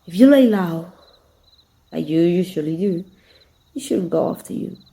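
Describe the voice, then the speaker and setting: A woman's voice: lowish voice, expressive, a little slow, mellow. A woman playing a flirtatious, confident villain who is secretly fond of her rival, in an intimate, teasing ASMR roleplay. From Mexico. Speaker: amateur voice actor.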